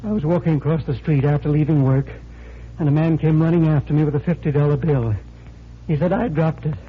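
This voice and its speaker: Desperate, faltering bank teller near breaking point, old radio drama; raised pitch, tinny phone-like sound. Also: male voice, steady tone, brisk, husky. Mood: troubled, confiding. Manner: distraught, faltering, pleading. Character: tormented, desperate male bank teller fearing madness. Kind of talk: 1940s radio acting. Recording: thin, lo-fi 1940s radio recording.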